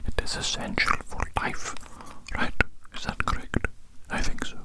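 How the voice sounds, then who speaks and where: An ASMR host rambling in a breathy, unvoiced whisper very close to the microphone, musing in an unhurried way and repeating phrases.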